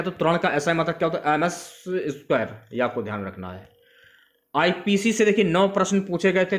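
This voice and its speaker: A man's voice: a little high, a bit bright. A man teaching as an exam coach, going through questions and repeating key facts for students. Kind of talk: instructional exam question review.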